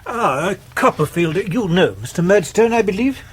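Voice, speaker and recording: Fussy, swooping, husky English gentleman lawyer. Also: high voice, lively intonation, normal speed. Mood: fussy politeness. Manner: hesitant, fussy, pompous. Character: fussy, genteel middle-aged Victorian lawyer, evasively apologetic. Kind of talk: radio drama acting of a Victorian novel.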